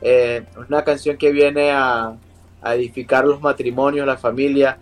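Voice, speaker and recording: Young Venezuelan male singer, remote guest, hesitant and filler-heavy, soft music under him. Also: medium pitch, little pitch movement, normal speed. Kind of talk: interview over a video call. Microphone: video call.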